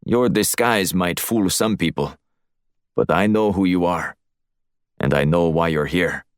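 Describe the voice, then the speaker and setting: A man voicing a hulking, smooth, menacing Russian crime boss with a clipped, deliberate Russian accent in an audiobook. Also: sits low, dry recording, clean studio sound. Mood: menacing, probing. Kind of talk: audiobook character voice.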